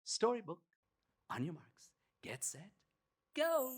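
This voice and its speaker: A woman tells a children's bedtime story with theatrical pauses. Her pace is slow and her pitch very lively, slightly distant from the mic with music underneath. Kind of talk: animated scripted storytelling for children.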